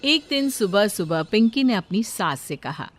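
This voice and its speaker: A woman's voice: mid-range voice, sing-song, measured pace. A woman narrating a scripted story as its storyteller. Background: faint music.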